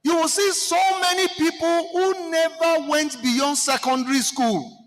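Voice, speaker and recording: Nigerian guy w yoruba accent, high voice, fired up talking to a seminar crowd, big hall echo. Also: unhurried. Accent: Nigerian, Yoruba-speaking. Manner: animated, rhetorical questions to the crowd, mixing in Yoruba. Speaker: seminar participant speaking to the floor. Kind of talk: impassioned address to a seminar audience.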